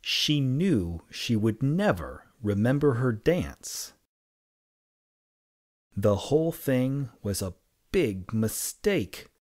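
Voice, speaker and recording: A man reading a children's story aloud with expressive, deliberate and dramatic phrasing. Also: mid-range voice. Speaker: storybook reader.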